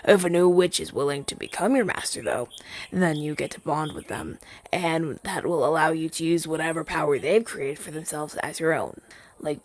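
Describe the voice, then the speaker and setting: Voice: mid-range voice, moderate pace, natural voice. A man voicing a shy, earnest crow familiar in an ASMR roleplay, hesitant and rambling, with stammers. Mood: earnest, explaining.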